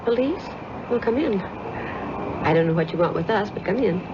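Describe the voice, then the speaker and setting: An actress playing an anxious, disbelieving mother of a teenage boy in a 1950s radio drama, speaking haltingly. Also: mid-range voice, expressive, close to an everyday voice. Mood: wary. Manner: halting, repeating herself in disbelief. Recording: muffled vintage radio recording, faint noise.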